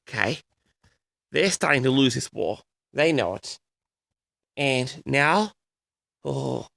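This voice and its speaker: A man's voice: mid-range voice, vocal fry, sharp tone, dry recording, clean studio sound. A man hosting a personal audio log, rambling casually and hesitantly, often repeating himself as he addresses his listeners. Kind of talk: rambling casual monologue to audience.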